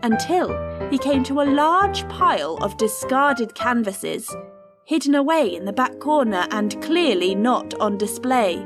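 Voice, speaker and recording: A prim, commanding storyteller narrates a literary tale for radio. The voice sits fairly high and moves slowly and deliberately, with dramatic weight, over clearly audible music. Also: female voice, very animated. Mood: measured storytelling. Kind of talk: theatrical scripted narration.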